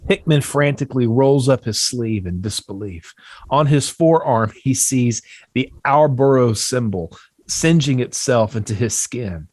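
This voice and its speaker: A man's voice: mid-range voice, expressive, a little slow, darkish tone, dry recording. A man reading stage directions from a horror screenplay as scripted narration.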